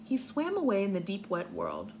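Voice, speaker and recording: Casting: young adult American woman, kindergarten teacher reading and explaining to children, medium-pitched voice, noisy living-room recording. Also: wildly swooping pitch, moderate pace, darkish tone. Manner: instructive, simple wording with clear explanations. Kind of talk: read-aloud lesson for young children. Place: living room on a couch, indoors. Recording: clearly noisy home recording.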